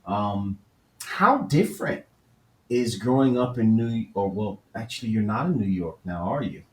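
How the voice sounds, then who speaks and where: A man's voice: lowish voice, lively intonation, measured pace, a little mellow. A man from Florida hosting a podcast interview, asking thoughtful questions and often restarting his sentences. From Florida, USA. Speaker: podcast host. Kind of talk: conversational interview. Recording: echoey room with faint background noise.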